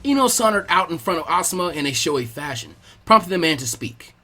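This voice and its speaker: Guy reading fanfic out loud, voice a bit on the high side, story narrator style. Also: very animated, quick pace, faint music behind. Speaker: fan fiction reader.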